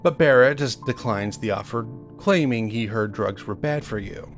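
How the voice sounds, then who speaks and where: A man's voice: mid-range voice, moderate pace. A man narrating a first-person horror story in a conversational, hesitant, reminiscing way. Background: music under the voice.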